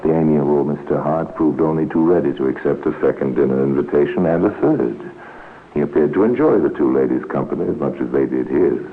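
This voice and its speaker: A man narrating a 1950s radio crime drama in a grave, wry, deliberate manner. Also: very deep voice. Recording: tinny, noisy 1950s radio recording.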